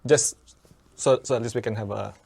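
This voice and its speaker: A man hosting an interview, asking questions in an informal, halting way with fillers. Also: fairly low pitch, steady tone, creaky, a little mellow, breathy edge. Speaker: podcast host, interviewer.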